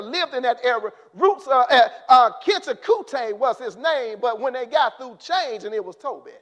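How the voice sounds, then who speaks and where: A man preaching a sermon in an impassioned way, rising to shouts and then dropping low.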